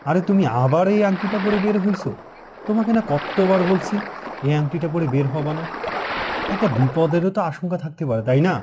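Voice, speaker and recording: A man's voice: high voice, fairly quick, natural voice. A man playing a caring, cautious young newlywed husband in a cartoon, speaking in an earnest, familiar way. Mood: concerned. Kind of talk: cartoon voice acting. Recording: clear river water noise.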